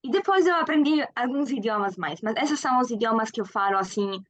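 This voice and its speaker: A woman's voice: fairly high pitch, animated, fairly quick, no echo. German woman in her twenties, guest, hesitant and full of fillers, dry close sound. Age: 20s. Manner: hesitant with frequent fillers, laughs often. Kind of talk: casual interview.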